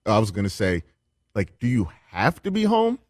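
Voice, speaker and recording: A man's voice: on the low side, huge pitch swings, moderate pace, no echo, studio quality. A man on a radio show crew, teasing the host with playful questions. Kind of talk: casual studio banter.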